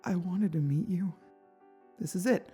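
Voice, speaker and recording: A woman's voice: on the low side, measured pace, mellow, voice pushed up, lightly acted. Woman doing sweet young prince guy, hesitant n pleading, fantasy audiobook, music underneath. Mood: earnest, hesitant. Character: earnest, gentle young male crown prince. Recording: background music behind the voice.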